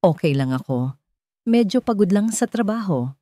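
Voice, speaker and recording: A woman's voice: medium pitch, expressive, normal speed, warm tone, dry recording, lightly acted. A woman voicing a cowardly, cold and evasive husband in a radio drama story. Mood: weary.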